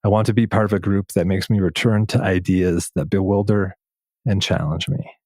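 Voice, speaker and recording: A man interviewing in a reflective, measured way, halting and pausing between short phrases. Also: low voice, fairly even intonation, darkish tone, no echo, studio quality. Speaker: podcast host, interviewer. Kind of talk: reflective interview conversation, some reading aloud.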